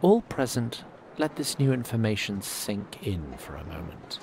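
An actor narrating a fantasy audio drama as its storyteller. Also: male voice, fairly low pitch, big pitch swings, unhurried, creaky, background noise, heavily acted. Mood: measured.

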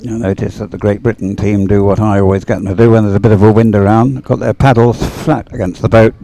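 A man giving chatty live sports commentary in a halting, unhurried way, as if thinking aloud. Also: on the low side, fairly even intonation, gravelly, warm tone, no echo.

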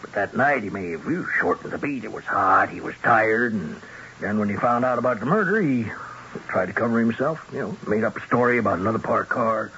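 An actor playing a cautious, exasperated police captain, reasoning and reproving, in 1970s radio drama style. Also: male voice, lowish voice, expressive, brisk, bright, forceful tone. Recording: muffled, noisy old radio broadcast.

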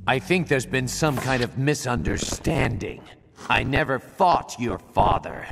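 Gravelly cocky swordsman. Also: mid-range voice, expressive, measured pace, noisy background. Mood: calm, wry. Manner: laid-back, wisecracking, profane. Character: cocky, casual male assassin swordsman. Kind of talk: video game voice acting. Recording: long reverb, music behind.

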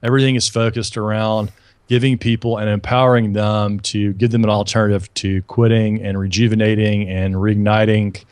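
A man's voice: on the low side, little pitch movement, normal speed, darkish tone, clean tone, dry recording. A man in his thirties from Alabama, a guest chatting upbeat and talkative on a video call through an earbud headset mic, restarting his sentences often. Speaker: podcast guest. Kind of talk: casual video-call interview.

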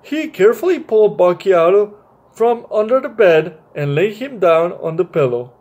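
A man's voice: high-pitched, sing-song, normal speed, darkish tone. A young man reading a picture book aloud for children and chatting about it, often stumbling and repeating his words. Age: young adult. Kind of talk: read-aloud story time for children, with informal commentary. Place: small bright room indoors.

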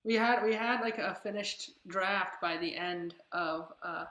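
A woman's voice: on the low side, unhurried, a bit bright. A young adult woman chatting casually on a video call, rambling and repeating her phrases. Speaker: club writer and performer. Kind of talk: casual chat. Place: indoors on a group video call. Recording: echoey, noisy video call with clicks.

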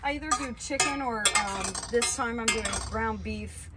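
A young woman vlogging at a campsite, talking in a chatty, excitable way with plenty of fillers. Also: on the high side, moderate pace, very noisy. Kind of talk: casual camping vlog. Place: outdoor campsite beside a camper trailer. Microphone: camera on a tripod a few feet away.

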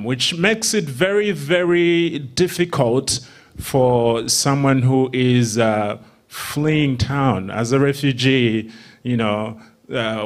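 A man in his twenties from Rwanda, a comedian guest telling casual, humorous stories haltingly into a handheld stage mic. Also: medium pitch. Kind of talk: casual humorous storytelling in interview. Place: theatre stage before a seated audience. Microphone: handheld microphone.

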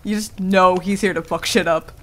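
A woman's voice: fairly low pitch, steady tone, normal speed, fairly bright tone, close to an everyday voice. A woman playing a prickly, easily flustered tsundere werewolf in a casual ASMR roleplay, with a defensive, stammering manner. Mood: enthusiastic. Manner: casual, defensive, stammering when embarrassed, swears. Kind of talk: intimate ASMR roleplay acting. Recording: clearly audible background noise.

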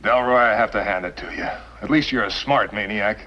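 A man playing a wary western outlaw, hesitant and wry, with a husky voice. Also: lowish voice, brisk, a little hiss or hum, close to an everyday voice. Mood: wry admiration. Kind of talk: 1950s western TV acting. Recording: muffled vintage TV soundtrack.

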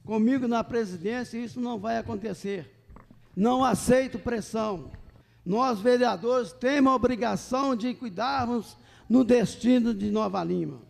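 High, creaky older man speechifying. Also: normal speed. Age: 60s. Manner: emphatic, defiant. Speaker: city council president. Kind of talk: political speech read from paper. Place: council chamber, indoors. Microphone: gooseneck table microphone. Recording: reverberant council chamber.

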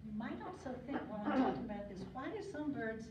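An older woman giving an informal slide talk at a podium, chatty and digressive, with frequent ums and throat clearing. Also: a little high, lively intonation, fast talker. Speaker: guest speaker on local birds. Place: lecture hall. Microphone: podium mic, recorded by a far camera. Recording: distant echoey hall recording, muffled, noisy.

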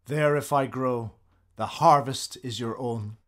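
An actor playing a wary, honourable noble general in a Shakespearean radio drama, thoughtful and formal. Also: male voice, medium pitch, slow, natural voice. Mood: humble, loyal.

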